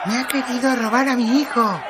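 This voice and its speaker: A woman's voice: fairly high pitch, steady tone, fairly quick, crowd noise, noisy background, natural voice. A woman playing a furious village mother in a radio drama, accusing shrilly and fearful for her baby. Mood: furious, alarmed. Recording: loud music under the voice.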